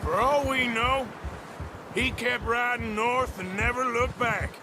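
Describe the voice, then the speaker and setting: An actor playing a gruff, dry-witted American outlaw cowboy in a video game, with a laconic, sardonic drawl. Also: male voice, very high-pitched, slow pace, background noise. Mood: skeptical. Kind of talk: video game cutscene acting.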